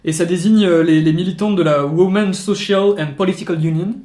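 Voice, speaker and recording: A man's voice: high voice, fairly even intonation, fairly quick, a little mellow. A man co-hosting a casual discussion show, talking through his research with many fillers and restarts. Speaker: podcast co-host. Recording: echoey room.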